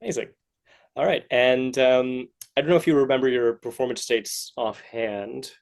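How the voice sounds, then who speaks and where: A man hosts a relaxed podcast interview in a mid-pitched voice with plenty of creak. He feels his way through questions and remarks, rambling a little and sounding encouraging. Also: normal speed. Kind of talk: casual interview chat.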